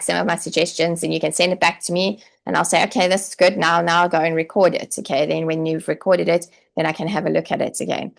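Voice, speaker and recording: A woman's voice: fairly low pitch, creaky. A woman coaching online English teachers in a chatty live stream, giving direct, encouraging advice and saying "okay" and "like" often.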